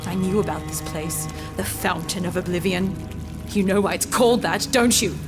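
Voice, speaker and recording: A woman's voice: on the low side, normal speed, music in the background, lightly acted. An actress playing a bitter, vengeful female rebel leader in a fantasy audio drama, theatrical, taunting and sardonic. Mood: bitter, menacing. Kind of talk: full-cast fantasy audio drama acting.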